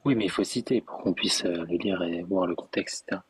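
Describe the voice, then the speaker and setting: A low, husky man talking fast in a heated online debate. He keeps interrupting and pressing for sources. His voice comes through a voice call. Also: no echo. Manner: insistent, interrupting, demands sources.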